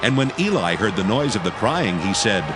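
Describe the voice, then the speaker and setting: A man narrating a dramatized Bible in a measured, formal reading style. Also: lowish voice, big pitch swings, crowd noise.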